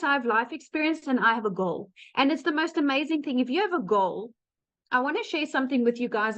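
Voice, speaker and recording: High-voiced South African woman, muffled audio. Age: late 30s. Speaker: webinar speaker, digital marketer. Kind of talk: informal testimonial pitch to online audience. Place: online webinar call. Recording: dull lo-fi online call audio.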